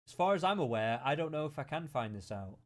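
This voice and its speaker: Rambling British podcast host. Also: male voice, on the high side, animated, quick pace. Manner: rambling, opinionated, stop-start. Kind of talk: casual chat.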